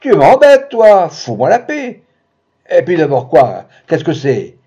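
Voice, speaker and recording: A man's voice: on the low side, big pitch swings, moderate pace, gravelly, heavily acted. A man voicing a raging, bellowing jealous husband. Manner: shouting, blustering. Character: furious jealous man, loud and insulting.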